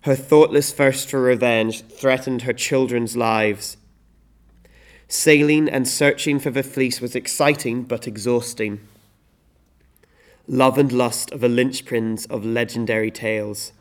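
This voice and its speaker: A man playing a solemn storyteller, giving deliberate scripted narration of a Greek tragedy. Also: medium pitch, fairly even intonation, lightly acted.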